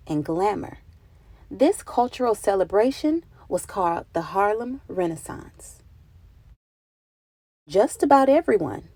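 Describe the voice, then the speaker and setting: A woman reading a picture book aloud for children, with expressive, deliberate phrasing and dramatic emphasis. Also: mid-range voice, gravelly, no room sound. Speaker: children's read-aloud narrator. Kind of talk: expressive storybook narration for children.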